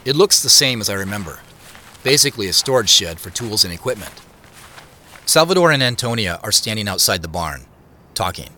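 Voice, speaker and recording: An actor playing an observant, wistful grown man, narrating his story in a measured first person for an audio drama. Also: fairly low pitch, sing-song, bright, forceful tone. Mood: reflective. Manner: measured, descriptive. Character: Joseph, observant, wistful grown man back visiting a farm.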